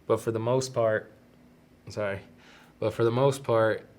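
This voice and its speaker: A man's voice: lowish voice, steady tone, a little slow, darkish tone. Stuffy-nosed young man hosting a podcast. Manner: rambling and self-deprecating, laughs easily. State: stuffy, congested nose. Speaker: podcast host. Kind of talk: casual solo podcast talk.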